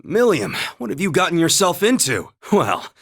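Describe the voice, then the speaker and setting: A man voicing an earnest, calm young male warrior in a video game, speaking in a measured, sincere and formal way. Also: high-pitched, slightly rough, exaggerated voice. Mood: sincere, reassuring. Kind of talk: video game voice acting, battle calls and dialogue.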